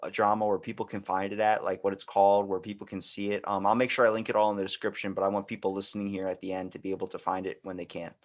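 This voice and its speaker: Low-voiced man, joking podcast host. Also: level tone, quick pace, hardly any pauses. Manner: joking, rambling, slangy. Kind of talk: casual comedic chat.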